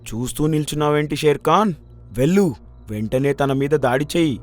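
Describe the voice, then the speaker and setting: A man's voice: fairly high pitch, normal speed, soft background music, lightly acted. A man voicing a scheming, bullying deer in a children's cartoon, pushy and insistent. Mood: urging. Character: scheming, bullying deer goading a lion to kill. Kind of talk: animated children's story acting.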